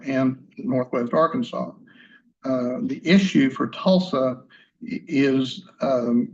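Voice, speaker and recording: A soft-spoken male attorney giving a formal argument before a commission, halting often with fillers and restarts. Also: medium pitch. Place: hearing room. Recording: dull lo-fi hearing room audio.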